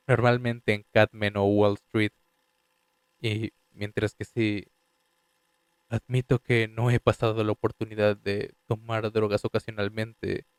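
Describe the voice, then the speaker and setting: Guy voicing a young designer telling what happened, kinda defensive, lowish voice, dry close sound. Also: clear, resonant tone, dry recording, natural voice. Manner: confessional, slightly defensive storytelling. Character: young male designer and clubgoer giving a statement. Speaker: voice actor reading a statement. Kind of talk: fan dub audio drama, first-person statement.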